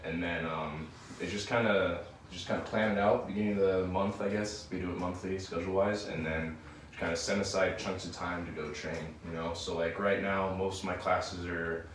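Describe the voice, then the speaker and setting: A man's voice: low voice, fairly even intonation, normal speed. A man in his early twenties chatting casually as a guest, loosely explaining things as he goes. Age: early 20s. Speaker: gym coach and student, podcast guest. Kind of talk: casual chat. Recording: echoey room, distant mic, faint noise.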